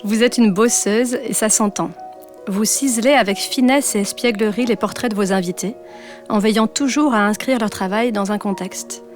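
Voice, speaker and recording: A woman's voice: medium pitch, normal speed, faint music behind. A woman co-hosting a podcast, reading an admiring prepared portrait of her guest. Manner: admiring, reads a prepared text. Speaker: podcast co-host.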